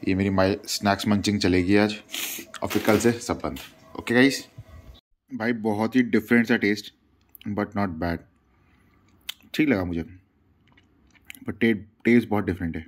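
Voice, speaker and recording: Low-voiced playful male vlogger. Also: measured pace, pauses often. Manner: playful, chatty, mixing in English words. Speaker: travel vlogger. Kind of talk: casual vlog chatter to viewers.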